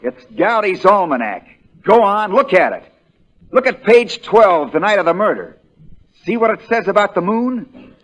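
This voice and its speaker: Male: shrewd American country defense attorney for vintage radio play; fairly high voice, very animated, homespun and relentless. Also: moderate pace, low-quality recording. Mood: fierce, accusing. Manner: drawling folksy understatement that builds into hammering accusation. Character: shrewd, folksy country defense lawyer, relentless in cross-examination. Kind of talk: 1940s radio drama acting.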